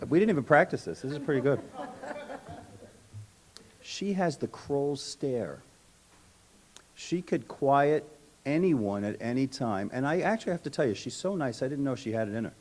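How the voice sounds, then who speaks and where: Low-voiced man giving a tribute. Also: darkish tone. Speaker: school administrator giving a tribute. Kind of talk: prepared tribute speech with informal asides. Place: public school board meeting room.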